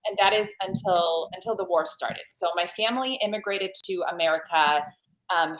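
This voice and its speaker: A woman in her forties from Serbia, a podcast guest telling her life story in an earnest, lively way, often restarting her phrases, over a video call. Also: lowish voice. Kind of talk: personal storytelling in a podcast interview. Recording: roomy video call audio.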